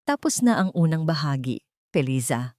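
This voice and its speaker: A deliberate, formal Filipino attorney acted by a woman narrator gives calm reassurance as a family ally. It is audio story dialogue with a dry sound. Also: low voice, no room sound. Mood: formal, reassuring.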